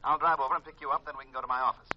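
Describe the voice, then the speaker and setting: An actor playing a hearty, eager insurance man in a 1950s radio mystery, brisk and businesslike. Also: medium pitch, fairly even intonation, lightly acted. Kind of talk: 1950s radio drama acting. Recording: muffled vintage radio telephone voice.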